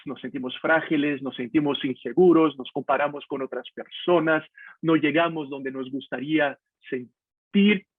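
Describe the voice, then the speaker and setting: Brazilian pastor guy, husky voice, talks straight to you, sounds like a dry tinny online call. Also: a little high, no echo. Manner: candid, confessional, addresses listener directly. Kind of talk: personal devotional talk. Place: home, video call.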